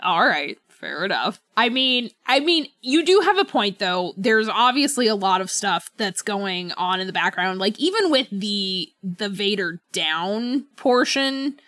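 A woman's voice: mid-range voice, expressive, measured pace, hardly any pauses, a bit bright, dry recording. Woman chatting casually, dry close sound. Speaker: book club podcast co-host. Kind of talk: casual book discussion chat.

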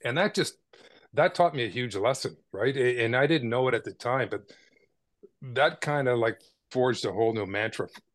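A man's voice: medium pitch, expressive, moderate pace, no echo. A man from Canada, a podcast guest, telling his story in a casual, conversational way with frequent "right?" tags and small repeats.

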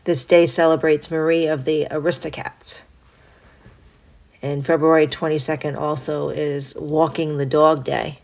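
A woman hosting a solo podcast, stuffed up from a cold, reading through a list in a steady, even way with small asides. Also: deep voice, a little slow, gravelly. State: congested from a cold. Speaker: podcast host.

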